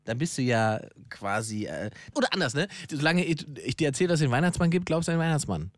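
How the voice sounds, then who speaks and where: A man's voice: fairly high pitch, expressive, fast, no echo, studio quality. A man talking casually as a podcast co-host, explaining his views at length in blunt, colloquial language. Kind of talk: casual conversational discussion.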